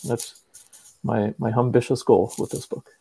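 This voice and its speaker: Gravelly, husky man with a mellow tone. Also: mid-range voice, little pitch movement, measured pace, rough recording. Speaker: guest, children's book author. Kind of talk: conversational interview answers.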